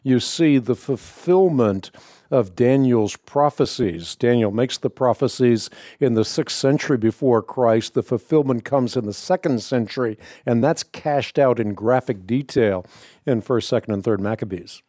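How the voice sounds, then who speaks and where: A man from the Netherlands, a native Dutch speaker with no accent, hosting a call-in radio show and answering Bible questions in a measured, explanatory way. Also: medium pitch, warm tone, no echo, clean studio sound.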